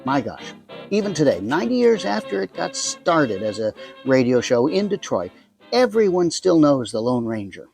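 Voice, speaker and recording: A man's voice: fairly high pitch, big pitch swings, normal speed, creaky, darkish tone, soft background music. An American man hosting an introduction to a radio drama, talking casually with wry, chatty asides. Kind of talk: casual scripted introduction to camera.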